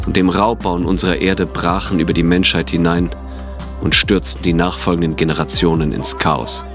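A male narrator with a very low voice tells a dramatic audio play story. His delivery is measured and grave, and music plays clearly underneath.

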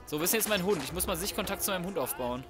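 Casting: male gaming YouTuber, fairly high voice, loose colloquial sweary commentary over game music. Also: lively intonation, rapid-fire. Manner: colloquial, sweary, reacting aloud to gameplay. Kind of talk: casual let's play commentary. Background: video game sound and music.

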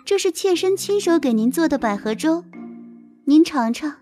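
An actress playing a coquettish, scheming young concubine in an audio drama, with a simpering, wheedling manner. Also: female voice, sits high, lively intonation, normal speed, dark tone. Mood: cloying. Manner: simpering, affected, sweetly wheedling. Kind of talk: Chinese audio drama acting. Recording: music bed behind the voice.

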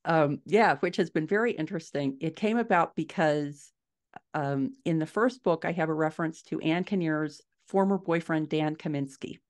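A woman's voice: low voice, moderate pace. A middle-aged American woman explaining things conversationally as an interview guest, thinking aloud on a video call from home. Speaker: guest author and podcaster. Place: living room at home, indoors, on a video call.

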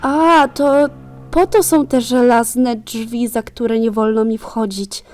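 Young woman as high-pitched, eager young daughter. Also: takes it slowly, soft background music. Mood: bewildered. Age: young adult. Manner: excitable, breathless questioning. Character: eager, hopeful young daughter searching for her mother. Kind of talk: amateur radio drama acting.

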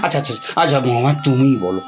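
A woman's voice: a bass voice, animated, very fast. A woman playing a coaxing, playful old Shiva in an audio drama, repeating words as he wheedles. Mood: coaxing, pleading. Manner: wheedling, stammering repetitions of words. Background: music.

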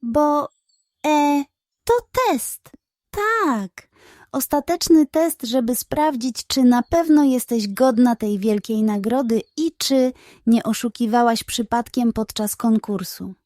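A woman voicing a sly, scheming, excitable male pug in a children's storybook, with a theatrical, flattering manner. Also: a little high, slow pace, no echo, studio quality. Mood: improvising, officious.

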